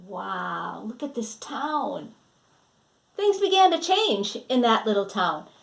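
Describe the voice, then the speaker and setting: Animated woman reading a children's story. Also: on the high side, slow, gravelly. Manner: dramatic, asks the young listeners questions. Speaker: children's story reader and teacher. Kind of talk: animated picture-book read-aloud.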